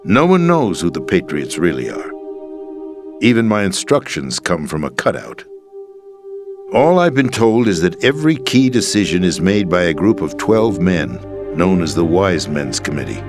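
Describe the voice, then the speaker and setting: An actor playing a disillusioned, world-weary middle-aged American president in a dramatic video game scene, with an emphatic theatrical delivery. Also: male voice, sits low, big pitch swings, moderate pace. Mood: grave, cynical. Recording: game soundtrack music underneath.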